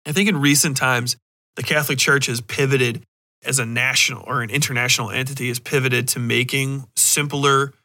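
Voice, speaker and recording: A man with a bright, forceful voice talks as a podcast host. His delivery is loose and conversational, rambling from thought to thought. He is emphatic and freely profane. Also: medium pitch, steady tone, brisk. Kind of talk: casual rambling chat.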